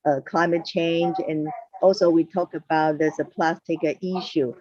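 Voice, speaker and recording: A woman's voice: on the low side, darkish tone, no room sound. A woman from Taiwan, a primary school teacher, speaking hesitantly with many fillers in an online meeting. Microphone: video call. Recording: muffled dry video call audio.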